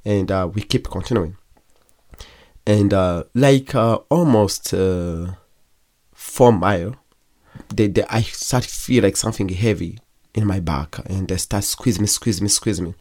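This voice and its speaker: Low-voiced young man, halting testimony. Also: a little mellow. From Democratic Republic of Congo. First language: Kigogwe. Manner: halting, with frequent pauses and restarts. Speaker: guest. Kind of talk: personal testimony in an interview.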